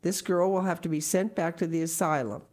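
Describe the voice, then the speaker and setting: A woman voicing a stern, sharp-tongued older woman from a storybook, scolding in a brisk, curt, emphatic way. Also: sits low, expressive. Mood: indignant, wrathful. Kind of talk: storybook character reading.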